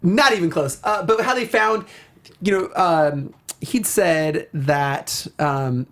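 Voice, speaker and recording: A man's voice: a little high, slow pace, fairly bright tone. A man hosting a casual discussion show, speaking in an enthusiastic, effusive way. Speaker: talk show host. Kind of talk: casual film and video game discussion.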